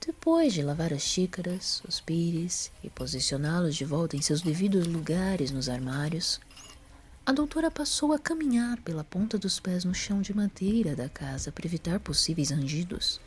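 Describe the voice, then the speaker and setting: A woman narrating a scripted story as an expressive storyteller. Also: fairly low pitch, moderate pace, a little hiss or hum.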